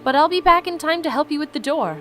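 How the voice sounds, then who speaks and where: A courteous fantasy king voiced by a woman, patient and instructive, explaining things grandly. The delivery is lively in pitch, in a storybook game style. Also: quick pace, faint music behind. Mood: brisk.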